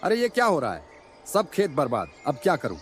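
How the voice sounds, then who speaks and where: A man's voice: a little high, wildly swooping pitch, fairly quick, slight background noise. Man acting as sly, selfish village schemer. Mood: distressed. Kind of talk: animated moral story acting.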